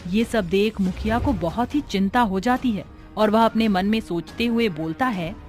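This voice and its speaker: A woman's voice: medium pitch, normal speed, darkish tone. A woman reading scripted horror storytelling narration as the story's narrator. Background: background music.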